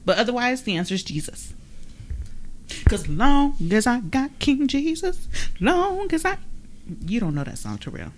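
A woman's voice: fairly high pitch, wildly swooping pitch, normal speed, pauses often, slight background noise. A woman hosting a podcast in casual chat, with a playful, exclamatory manner and frequent laughter. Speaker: podcast host.

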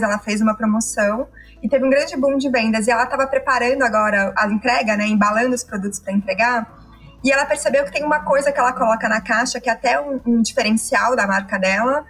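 A woman's voice: a little high, fairly bright tone, poor audio. A woman with a São Paulo Brazilian accent, explaining and telling stories conversationally in an enthusiastic way.

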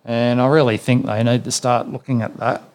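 A man's voice: medium pitch, little pitch movement, normal speed, darkish tone. A man with an Australian accent hosting a sports memories podcast, chatting casually and filling his talk with "yeah"s and "you know"s. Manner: rambling, with many fillers and "yeah"s. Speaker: podcast host.

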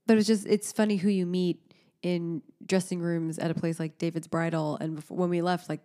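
A woman's voice: fairly low pitch, flat delivery, fairly quick, dark tone. A woman in her thirties, co-hosting a podcast in casual chat, a chatty storyteller who laughs often. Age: 30s. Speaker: podcast co-host.

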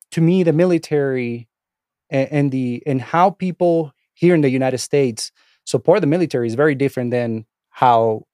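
A man's voice: medium pitch, warm tone, no room sound, studio quality. A middle-aged man from Venezuela with a Venezuelan Spanish accent, talking casually as an interview guest, rambling with many restarts and fillers. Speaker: interview guest, instructor and author.

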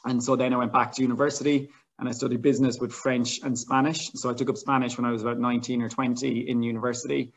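Chatty Irish man, flat steady voice. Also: medium pitch, fast talker, few pauses. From Ireland. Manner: chatty, many fillers and restarts. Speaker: language teacher and guest. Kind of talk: casual interview talk.

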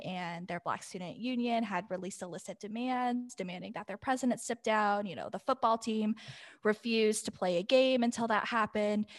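A woman's voice: medium pitch, dry recording, clean studio sound. A young adult woman speaking as a podcast guest, telling personal stories in a chatty, informal and long-winded way. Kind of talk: casual conversational personal storytelling.

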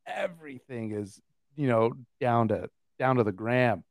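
A man co-hosting a podcast, chiming in with joking, animated reactions in casual banter. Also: medium pitch, unhurried, dry recording, clean studio sound. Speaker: podcast co-host.

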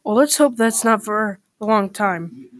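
A woman voicing a kind young male olive farmer in a calm, plain, friendly way. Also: mid-range voice, measured pace, no echo, lightly acted. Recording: distant from the mic.

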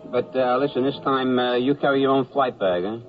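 An actor playing an amiable, dim, bemused Army corporal with a hesitant, folksy manner in a radio comedy. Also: male voice, mid-range voice, brisk, faint music behind, natural voice. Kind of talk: 1970s radio comedy acting. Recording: dull lo-fi 1970s radio broadcast.

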